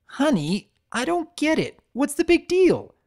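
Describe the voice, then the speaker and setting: Man doing a fibbing pegasus stallion, pleading, stammering, high and strained. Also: huge pitch swings, unhurried, darkish tone, exaggerated voice. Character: smooth-talking, lying pegasus stallion.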